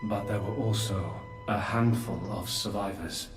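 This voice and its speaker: Man voicing ancient remorseful creator guy, low slow solemn voice, echoey and far off, game cutscene with loud music. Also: steady tone, natural voice. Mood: solemn explaining. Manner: grave, deliberate, measured delivery.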